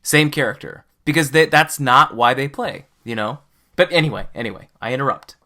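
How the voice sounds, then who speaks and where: Bright-voiced man hosting a casual podcast. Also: medium pitch, a little fast. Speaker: podcast host.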